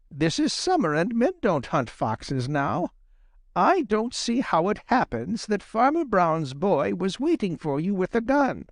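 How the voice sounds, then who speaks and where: A man voicing a shrewd old female fox, grandmotherly and sharp-tongued, lecturing in a storybook reading. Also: high voice, no echo, clean studio sound, pitched above the performer's own voice, exaggerated voice. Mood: questioning. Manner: sharp-tongued, lecturing, sing-song when reciting.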